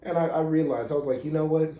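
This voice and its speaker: A man's voice: a little high, fast talker, reverberant, dull audio. An American man in his thirties chatting casually as a podcast co-host, blunt and slangy with some swearing. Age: 30s. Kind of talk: casual chat.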